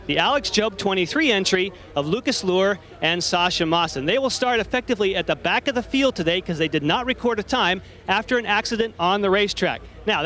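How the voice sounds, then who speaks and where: A man explaining qualifying strategy as a race analyst in a measured, explanatory way. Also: high-pitched, bright, forceful tone.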